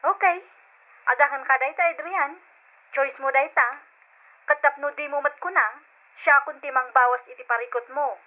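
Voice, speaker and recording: A woman's voice: high-pitched, fairly even intonation, moderate pace, pauses often, natural voice. An actress playing a hurt, fed-up girlfriend in an old radio drama, speaking with reproach. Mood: hurt, reproachful. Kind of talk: old-time radio drama acting in Ilocano. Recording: thin phone-like radio drama sound.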